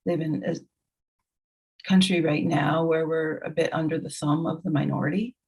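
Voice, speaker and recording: A woman's voice: low-pitched, steady tone, normal speed, creaky, a little mellow, slightly rough. A woman, a guest poet, speaking in a frank, wry way. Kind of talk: conversational interview answers.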